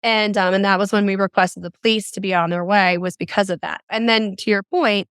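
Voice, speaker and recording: A woman's voice: mid-range voice, steady tone, fairly quick, a bit bright, clean tone, dry recording, clean studio sound. A middle-aged woman telling her own story as an interview guest. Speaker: interview guest, mother recounting a scam. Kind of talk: recounting a personal experience in an interview.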